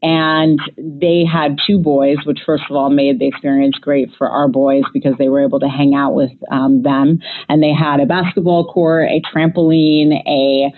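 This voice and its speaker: A woman from the United States with a low voice. She tells stories in a loud, chatty way, with many fillers and restarts. The sound is thin and phone-like. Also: level tone, normal speed, few pauses. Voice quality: self-described loud voice. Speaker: guest describing a family trip. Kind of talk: casual conversational storytelling. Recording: tinny phone-line audio.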